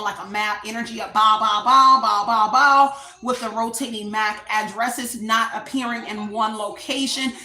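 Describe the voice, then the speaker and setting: Loud chatty woman in an echoey room. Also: fairly high pitch. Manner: chatty, loud, addresses viewers as y'all. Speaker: tarot reader and channel host. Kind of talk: casual livestream tarot reading.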